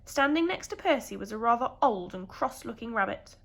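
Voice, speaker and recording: A woman's voice: a little high, lively intonation, fairly quick, fairly bright tone. A woman with a British accent, a primary school teacher telling a story expressively to young children.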